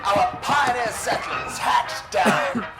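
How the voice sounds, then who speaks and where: A man playing a grim, fervent wartime propaganda announcer in a radio drama, declaiming in a shout. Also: high voice, slow pace, a loud crowd. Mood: fervent outrage. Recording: echoing, under loud music. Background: loud music.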